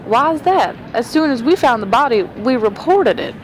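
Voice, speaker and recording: A woman's voice: animated, moderate pace. An actress playing a bold, inquisitive lady amateur sleuth on a train in an audio drama, direct and questioning. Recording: clear vehicle-like background rumble.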